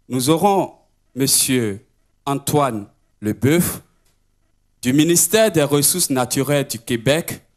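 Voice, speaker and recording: A man hosting a seminar session, formally introducing the program in a measured, rhetorical way. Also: a little high. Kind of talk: formal scripted introduction of speakers.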